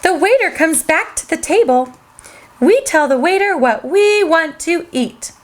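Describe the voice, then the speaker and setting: A woman's voice: very high voice, animated, unhurried. A woman hosting a children's show, reading a storybook aloud in a sing-song way and talking straight to the kids.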